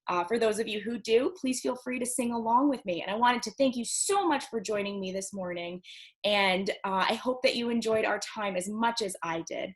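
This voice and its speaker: A woman's voice: a little high, moderate pace. A woman hosting a children's storytime, reading aloud and counting in a singsong, encouraging way for young listeners. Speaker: children's library storytime host.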